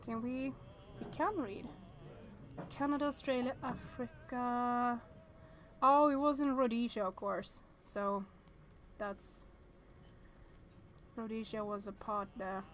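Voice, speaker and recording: Higher-voiced woman streamer chatting. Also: steady tone, slow, pauses often, fairly bright tone, a little hiss or hum, poor audio. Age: 30s. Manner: chatty, thinking aloud with hesitant restarts. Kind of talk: live-streaming a visual novel, reading aloud and chatting.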